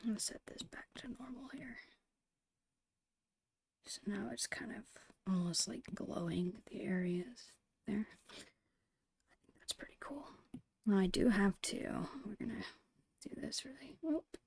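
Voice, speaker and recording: A woman whispering in a breathy, creaky voice, murmuring her way step by step through an ASMR art narration. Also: medium pitch, a little mellow, no room sound. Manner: unhurried, murmured step-by-step musing. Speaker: host of an art ASMR channel.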